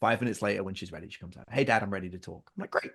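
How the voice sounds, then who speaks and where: A man's voice: deep voice, racing, gravelly, fairly bright tone, breathy edge. A middle-aged man telling family stories in casual conversation, animatedly acting out his own lines and his children's. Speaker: podcast guest.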